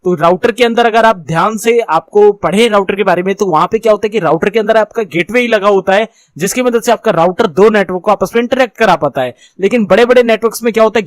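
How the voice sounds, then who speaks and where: A man's voice: high voice, quick pace, few pauses, no echo. An Indian man giving an explanatory lesson as an instructor, informal and repetitive, often checking that the listener follows. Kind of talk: explanatory lesson, reading definitions then explaining them.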